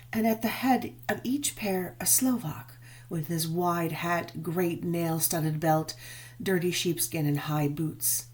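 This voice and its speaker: Woman audiobook reader, soft mellow tone, lowish voice, expressive gothic storytelling w drawn-out words. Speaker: audiobook narrator. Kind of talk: dramatic scripted narration of a gothic novel.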